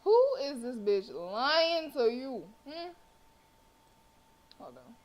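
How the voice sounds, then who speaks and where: A woman's voice: high-pitched, big pitch swings, takes it slowly. A sassy, colloquial woman reading and reacting aloud as she streams a game. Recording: faint background noise.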